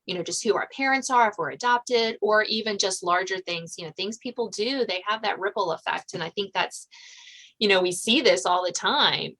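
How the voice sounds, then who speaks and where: A woman's voice: mid-range voice, normal speed, a bit bright, low-quality recording. A woman hosting a podcast, talking as an author in a conversational interview style.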